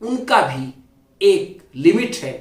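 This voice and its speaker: A man giving a formal religious lecture as an Islamic scholar, speaking in a deliberate, explanatory, oratorical way with pauses and repeated phrasing. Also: high voice, sing-song, darkish tone. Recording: roomy echo, distant microphone.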